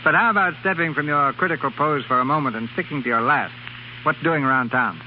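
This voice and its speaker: High-pitched male announcer, vintage radio. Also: fast talker, a little hiss or hum. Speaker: radio theater host and announcer. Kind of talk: scripted 1930s radio announcing and narration. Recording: muffled 1930s radio with loud music.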